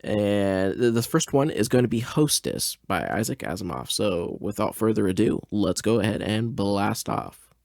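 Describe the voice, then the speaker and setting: Low-voiced man, casual podcast host. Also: expressive, normal speed, gravelly, a little mellow, dry recording, clean studio sound. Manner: informal, slangy. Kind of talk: casual live reaction chat. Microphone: close USB desktop microphone.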